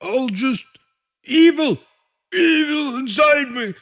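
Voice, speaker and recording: Man doing a strained, high little monster impostor. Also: lively intonation, creaky, a bit bright, exaggerated voice. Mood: panicking. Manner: halting, strained imitation of human speech. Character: panicky little monster puppeteering a wounded human body.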